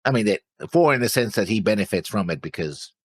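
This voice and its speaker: A man's voice: fairly low pitch, lively intonation, fast talker, no echo. A man co-hosting a reaction show, thinking aloud in casual talk and often trailing off. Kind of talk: casual discussion.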